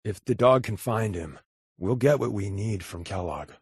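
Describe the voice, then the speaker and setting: A man playing a wry, sarcastic spy companion in a video game, with a deadpan, flippant delivery. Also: on the low side, normal speed, husky, dry recording, studio quality, exaggerated voice.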